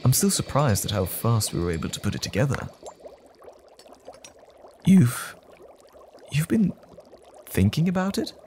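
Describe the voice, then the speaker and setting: An earnest scientist, acted by a man, hesitant and stammering in an audio drama. His pitch swings very widely at a measured, slow pace. A faint noise sits behind the voice. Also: big pitch swings, darkish tone. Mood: earnest, focused.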